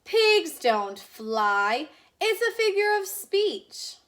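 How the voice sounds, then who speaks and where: A woman's voice: very high voice, sing-song, extremely slow, fairly bright tone, pitched above the performer's own voice, exaggerated voice. A woman voicing a grouchy, stern older woman in a storybook read-aloud, with a curt, scolding manner.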